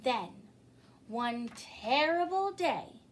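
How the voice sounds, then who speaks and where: A woman reads a children's story at a slow pace in a fairly high voice. Her delivery is expressive, the kind used at story time for young listeners. There is noise on the recording. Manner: expressive, pausing to ask listeners questions. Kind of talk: children's picture book read-aloud. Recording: clearly noisy recording.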